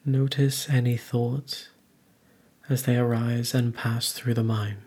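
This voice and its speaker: Slow, husky man guiding meditation. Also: medium pitch, level tone. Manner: gentle, measured, with long pauses between phrases. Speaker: guided meditation teacher. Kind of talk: guided meditation, soothing instruction.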